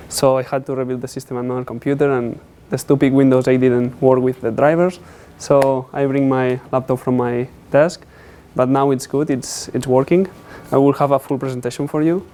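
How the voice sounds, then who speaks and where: Young guy presenting his tech project, clear smooth voice, kinda hesitant. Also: mid-range voice, a little mellow. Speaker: student presenter. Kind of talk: technical capstone presentation.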